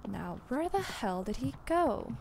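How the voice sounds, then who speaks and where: A woman's voice: a little high, big pitch swings, unhurried, creaky, darkish tone, a little hiss or hum, exaggerated voice. A woman playing a secretive, playful female co-worker in an audio drama, with breezy, teasing banter. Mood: curious, lively. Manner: breezy, flirty banter with laughs. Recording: music bed behind the voice.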